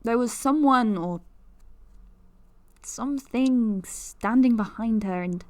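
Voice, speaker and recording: A woman's voice: a little high, slow pace, darkish tone, dry recording. An actress playing a young woman podcaster who talks in a confiding, nervous, self-deprecating way. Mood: anxious. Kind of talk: audio drama monologue.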